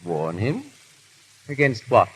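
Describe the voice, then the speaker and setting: A man's voice: fairly low pitch, very animated, takes it slowly, slightly rough, heavily acted, low-quality recording. A man playing a witty, foppish aristocrat in an urbane, ironic 1930s radio drama style. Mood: probing. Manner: urbane, drawling, lightly ironic. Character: foppish, witty English aristocrat husband hiding his feelings. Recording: old 1930s radio recording.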